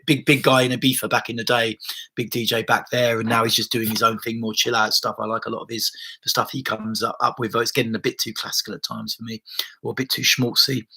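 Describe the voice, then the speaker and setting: A man's voice: mid-range voice, bright, forceful tone. A man, a body-based therapist chatting loosely and rambling through viewer comments on his livestream. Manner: rambling, loose and conversational with frequent restarts and swearing. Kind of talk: casual livestream chat answering viewer comments. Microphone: laptop mic.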